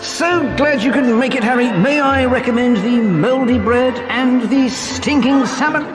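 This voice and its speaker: An actor playing a courteous, vain gentleman ghost in a video game, speaking in a formal, theatrical way. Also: extremely high pitch, measured pace, crowd noise. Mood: proud, welcoming. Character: genteel, courteous male ghost, vain and eagerly hospitable. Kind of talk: video game character acting. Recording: long reverb, music behind.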